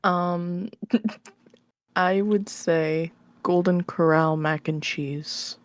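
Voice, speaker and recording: A young woman with a fairly low voice, recorded close and dry. She talks casually as a podcast guest, informal and prone to giggling, often softening her statements as she goes. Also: fairly even intonation, extremely slow, clean tone, no room sound. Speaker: podcast guest, audio roleplay creator. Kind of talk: casual interview chat.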